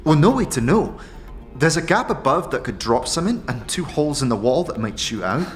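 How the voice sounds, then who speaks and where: A man plays a young tiefling rogue woman with a highly animated, swooping delivery. She is brash and impatient, pushing and coaxing in street slang, as in a fantasy audio drama, with faint music underneath. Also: fairly quick.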